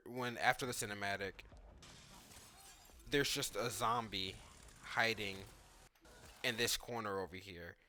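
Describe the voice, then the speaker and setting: Male gaming commentator, lowish and bright-edged voice, off-the-cuff and informal, reacting to footage as he thinks aloud. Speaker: gaming YouTuber.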